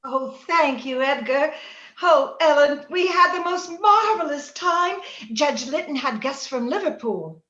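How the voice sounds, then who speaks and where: Female actor as willful, passionate young moorland heroine, high voice, old-time radio theatrics, echoey room on a video call. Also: lively intonation, unhurried, fairly bright tone. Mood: bright, girlish. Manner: theatrical, impetuous. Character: Kathy, passionate, willful young woman of the moors. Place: indoor room, remote video-call session. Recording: roomy, dull video-call audio, faint noise.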